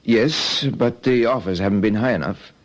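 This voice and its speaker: An actor playing an evasive, defensive hotel owner under questioning, in an old radio detective drama. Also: male voice, on the low side, moderate pace, lightly acted. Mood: defensive, indignant. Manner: defensive, indignant, hedging.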